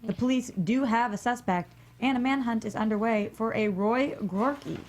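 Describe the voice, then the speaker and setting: A woman's voice: on the high side, moderate pace, darkish tone, close to an everyday voice. A woman playing a formal, serious television news reporter in a script table read.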